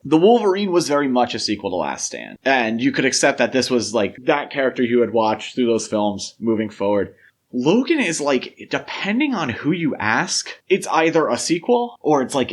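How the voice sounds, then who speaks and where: Casting: male podcast host, mid-pitched, casual and opinionated film talk, explains at length. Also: big pitch swings, moderate pace.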